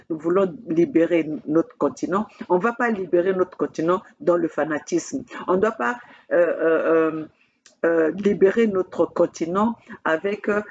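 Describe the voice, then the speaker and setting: A woman from Congo, addressing her camera as a political activist in an emphatic, repetitive way, halting often on fillers. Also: fairly low pitch, darkish tone, lo-fi sound, slight background noise. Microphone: speaking to own camera.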